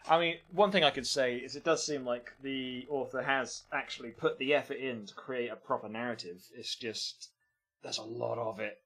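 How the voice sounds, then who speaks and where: A man's voice: medium pitch, fairly even intonation, normal speed, a bit bright. A man streaming and commenting casually on games, with offhand, meandering remarks. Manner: offhand, meandering, muttering asides.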